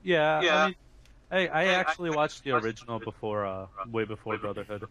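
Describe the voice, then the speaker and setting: A man's voice: medium pitch, flat delivery, fast talker, sharp tone, no room sound, dull audio. A man co-hosting a casual fan podcast, talking in an enthusiastic, emphatic way.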